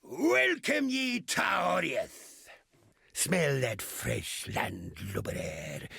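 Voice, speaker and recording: Casting: bawdy, roguish old pirate captain in a game; heavy rasp with gravel, stage pirate accent, salty swagger, close dry sound. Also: male voice, a little high, huge pitch swings, drawn-out words, sharp tone, no room sound, full character voice. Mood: hearty, grateful. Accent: stage pirate English. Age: older. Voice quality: raspy, gravelly. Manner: salty, theatrical, swaggering delivery with archaic slang. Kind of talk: video game character acting.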